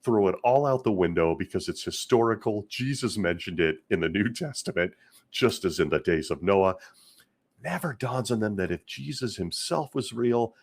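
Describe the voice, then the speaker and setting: A man hosting a casual commentary vlog, his voice scratchy and hoarse from illness, talking in a wry, sarcastic way with frequent chuckles. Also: on the low side. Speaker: host.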